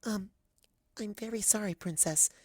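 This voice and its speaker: A performer voicing a gentle, timid young female pony who speaks softly, hesitantly and apologetically in a story reading. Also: mid-range voice, normal speed, no echo, clean studio sound, pitched above the performer's own voice, lightly acted. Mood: timid, apologetic.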